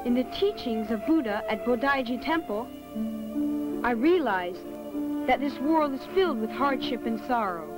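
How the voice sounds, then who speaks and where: A woman voicing an earnest, devout young boy in an animated film, speaking solemnly and gently. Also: a little high, moderate pace, close to an everyday voice, low-quality recording. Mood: solemn resolve. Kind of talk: animated film dub acting. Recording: loud music under the voice. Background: loud music.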